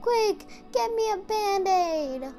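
A woman voicing an excitable, boastful young boy from a storybook with dramatic exclamations. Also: very high voice, takes it slowly, soft background music, pitched above the performer's own voice, exaggerated voice. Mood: panicked.

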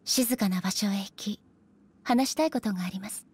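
An actress playing a stern, dignified female ruler in an anime game, speaking formally and with measured authority. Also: mid-range voice, darkish tone, slightly rough, natural voice. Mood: stern, commanding. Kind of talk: Japanese anime game voice acting.

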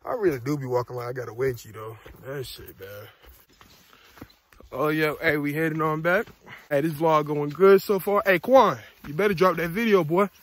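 A man's voice: a little high, normal speed. A man in his twenties chatting casually for a hiking vlog into a handheld phone outdoors, slangy, joking and swearing. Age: 20s. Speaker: vlogger. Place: mostly outdoors on mountain trails. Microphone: phone at arm's length.

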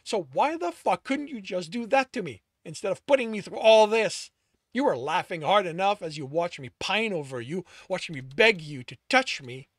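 A man voicing a stubborn, heartbroken young man who yells bitter accusations. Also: high voice, animated, normal speed, dry recording, clean studio sound, voice pushed up. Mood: angry hurt.

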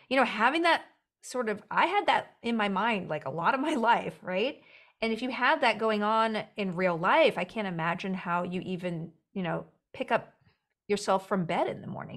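Young-sounding woman with a headset. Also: medium pitch. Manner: animated, laughs often, quick asides. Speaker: actor and writer guest. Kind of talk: casual interview chat. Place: home room with bookshelves.

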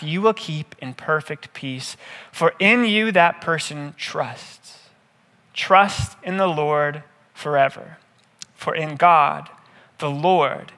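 A man in his thirties, a pastor giving a measured, scripted sermon with deliberate phrasing. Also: fairly high pitch, sharp tone. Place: indoor stage, seated at a table. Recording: reverberant hall.

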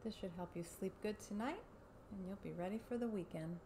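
A woman in her thirties speaking softly and gently in an ASMR personal-attention roleplay, close up in a room at home. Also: mid-range voice, brisk, noisy background. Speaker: ASMR host playing a barber giving a facial. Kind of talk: soft-spoken ASMR roleplay.

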